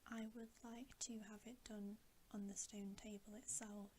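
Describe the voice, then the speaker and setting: A woman's voice: medium pitch, little pitch movement, a little slow, darkish tone, a little hiss or hum, close to an everyday voice. A woman voicing a cold, scornful, commanding witch queen in a whispered storybook reading.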